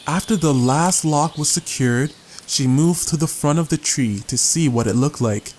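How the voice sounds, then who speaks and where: A man's voice: on the high side, moderate pace, darkish tone. A man narrating a scripted audiobook story as the narrator. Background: guitar music.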